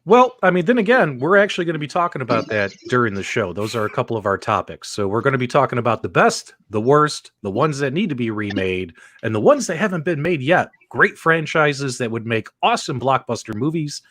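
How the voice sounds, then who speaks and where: Animated man hosting a casual stream. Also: mid-range voice, brisk, hardly any pauses, dry recording. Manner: animated, laughing, with fillers. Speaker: host. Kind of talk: casual livestream chat.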